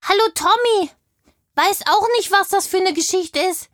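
A woman's voice: extremely high pitch, normal speed, dry recording, clean studio sound. A woman playing a chatty, indignant kindergarten girl in a children's audio play, telling things in childlike everyday words. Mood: curious. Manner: childlike, colloquial storytelling.

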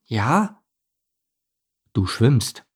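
A man voicing a calm, gruff father duck in a storybook reading, speaking low and quiet. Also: very slow, exaggerated voice. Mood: gentle.

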